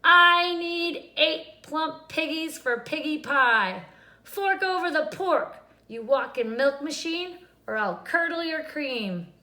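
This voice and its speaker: Lady doing a shrill greedy witch, yelling insults, bright voice in an echoey room. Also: lively intonation, slow pace, exaggerated voice. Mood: bossy, screeching. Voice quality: screechy. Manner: shouting insults. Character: greedy, bossy, screeching witch woman. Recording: roomy echo.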